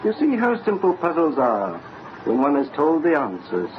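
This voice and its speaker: An actor playing a courtly, enigmatic older father, speaking in a measured, formal way in an old-time radio drama. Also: on the high side, wildly swooping pitch. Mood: philosophical. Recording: muffled, noisy old radio recording.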